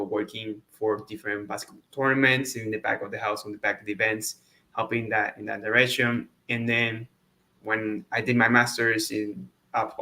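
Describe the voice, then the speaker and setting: A man in his thirties from Puerto Rico, with a Puerto Rican Spanish accent, a native Spanish speaker answering interview questions in a halting way, repeating words as he goes. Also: fairly low pitch, sharp tone. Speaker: guest.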